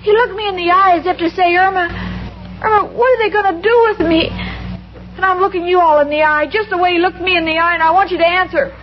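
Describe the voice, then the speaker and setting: An actress in a 1950s radio sitcom playing a naive, scatterbrained young secretary who talks earnestly and pleadingly. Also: female voice, extremely high pitch, steady tone, fast talker, faint music behind. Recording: dull lo-fi 1950s radio broadcast. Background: studio audience laughter.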